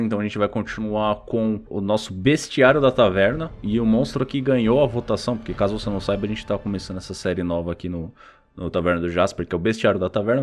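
A man with a Brazilian accent hosts a podcast in casual chat. His voice is on the low side, and he speaks informally with plenty of everyday slang. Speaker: podcast host.

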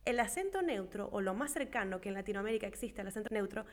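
A woman's voice: medium pitch, lively intonation, fast talker. A woman from Venezuela, talking emphatically and reflectively in a casual conversation.

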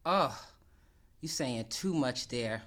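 A woman's voice: rumbling low, animated, slow, heavy background noise. A woman co-hosting a casual advice chat, candid and laughing often, swearing freely and stretching out words for emphasis.

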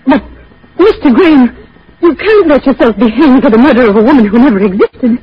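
A woman's voice: high-pitched, expressive, a little fast, breathy edge, exaggerated voice. An actress playing a devoted, anxious woman in an old-time radio drama, speaking with earnest, pleading emotion. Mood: earnest, urgent. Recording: muffled, hissy vintage radio recording.

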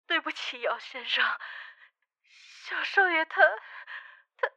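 A woman's voice: high-pitched, expressive, takes it slowly, bright, forceful tone, dry recording, muffled recording, full character voice. A woman playing a timid, flustered household servant in a Chinese audio drama. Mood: frightened.

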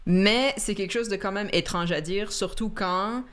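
Une femme qui coanime une discussion décontractée en direct, qui rit souvent et mélange des mots anglais à son français. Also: female voice, mid-range voice, normal speed, a bit bright, clear, resonant tone. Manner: laughs often, mixes English words into French. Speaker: co-host. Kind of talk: casual chat on a live stream.

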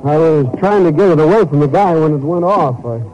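An actor playing a shaken, plain-spoken small-town Texas shopkeeper in a 1950s radio drama, with a folksy American way of talking. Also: male voice, on the high side, fairly quick, a little mellow, natural voice. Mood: regretful. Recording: muffled, noisy 1950s radio recording.

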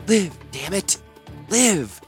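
Man voicing an earnest, high-pitched woman healer. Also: sing-song, moderate pace, faint music behind. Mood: desperate. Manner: earnest, imploring. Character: compassionate, steadfast woman healer, pleading and defiant. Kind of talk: dramatic fantasy audiobook character.

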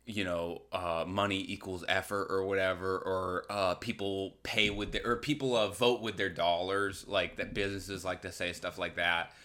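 A man's voice: low voice, little pitch movement, normal speed, hardly any pauses, sharp tone. A man hosting a podcast solo, ranting casually and comedically with sarcastic, profane, colloquial talk and acted-out imagined quotes. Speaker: podcast host.

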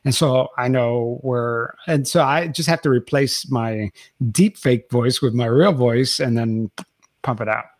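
A man's voice: medium pitch, unhurried, no room sound. A man, a podcast producer, chatting enthusiastically as an interview guest, laughing often and restarting his sentences. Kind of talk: casual interview chat.

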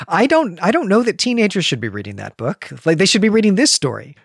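A man's voice: high voice, very animated, fast talker, creaky, husky, no echo, clean studio sound. A man talking as a podcast host in a thoughtful literary discussion, explaining earnestly and repeating words for emphasis.

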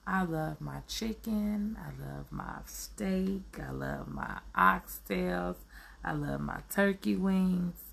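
A woman with a deeply gravelly, husky voice, hoarse from allergies. She talks slowly and earnestly in a reflective solo monologue, affirming herself and stopping often to search for the next word. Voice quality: raspy. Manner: earnest, self-affirming, searching for words with many ums.

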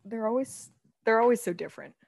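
A woman's voice: fairly high pitch, fast, vocal fry, a little mellow, no room sound. A young adult woman chatting informally as a podcast co-host, with a wry, teasing way of talking. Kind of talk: casual banter between siblings.

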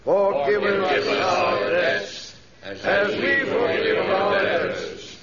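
An actor playing a gentle, devout missionary reverend with a solemn sermon delivery in 1930s radio drama style. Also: male voice, high voice, very slow, over a noisy crowd. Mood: prayerful. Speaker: missionary preacher. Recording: dull old radio transcription.

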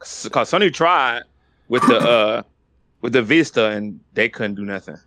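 A man's voice: mid-range voice, lively intonation, normal speed, background noise. A man on a casual gaming podcast, speaking hesitantly, agreeing often and asking questions. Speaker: podcast co-host.

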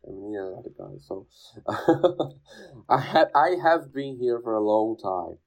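Low-voiced man, halting English. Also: animated, gravelly, a little mellow. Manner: emphatic, repeats phrases, halting non-native English. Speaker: podcast guest, language learner and teacher. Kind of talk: casual conversational interview.

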